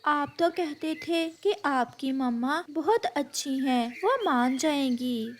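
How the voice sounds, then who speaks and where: A woman's voice: high-pitched, expressive, takes it slowly. A woman voicing a spoiled, haughty, two-faced young bird bride in a cartoon story. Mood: impatient. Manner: haughty, complaining.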